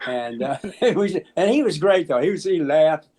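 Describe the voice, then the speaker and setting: An American man in his seventies casually telling anecdotes in an animated, halting way, acting out the dialogue. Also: on the high side. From Memphis, United States. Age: 70s. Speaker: interview guest, musician. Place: small room at home, on a video call.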